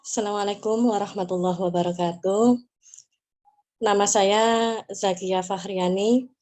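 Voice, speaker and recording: A woman in her thirties, a discussion participant, introducing herself calmly and plainly. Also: mid-range voice, measured pace. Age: 30s.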